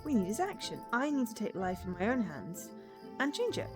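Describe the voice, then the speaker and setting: A woman's voice: medium pitch, sing-song, brisk, music in the background, close to an everyday voice. A woman voicing an earnest, determined young woman in a storybook game, read as dramatic dialogue.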